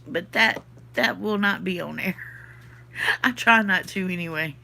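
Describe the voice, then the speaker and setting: A woman's voice: lowish voice, measured pace, vocal fry, sharp tone. A woman from the Southern US with a Southern accent, stuffy from her sinuses, chatting casually on a livestream and answering comments from her chat. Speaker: podcast host reading live chat.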